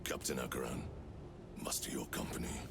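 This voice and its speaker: Imperious chapter master, echoing hall. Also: male voice, very deep voice, little pitch movement, heavy background noise. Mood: solemn, controlled. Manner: measured, commanding. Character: imperious space marine chapter master, solemn supreme war leader. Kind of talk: dramatic video game acting. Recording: echoey space, loud music behind.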